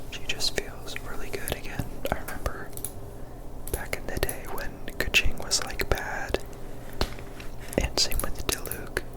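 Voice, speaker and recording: A person whispering casual, rambling ASMR commentary over video gameplay, in a breathy, unvoiced voice close to the microphone. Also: low-quality recording. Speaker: video game streamer.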